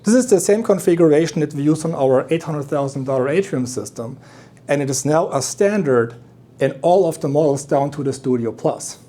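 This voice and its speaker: Mellow man presenting a product. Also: fairly high pitch, animated, fairly quick. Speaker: loudspeaker company representative giving a product demonstration. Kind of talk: explanatory product presentation at an audio show.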